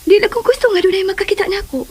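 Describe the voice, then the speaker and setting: High-voiced, coy Filipina in love. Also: female voice, racing. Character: shy, coy woman in love, a worried mother. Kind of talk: radio drama acting.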